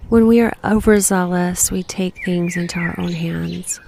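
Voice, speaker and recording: A woman guiding a meditation in a gentle, unhurried, breathy voice that sometimes nears a whisper, giving slow reflective prompts. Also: lowish voice, fairly even intonation, a little hiss or hum. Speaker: guided meditation host.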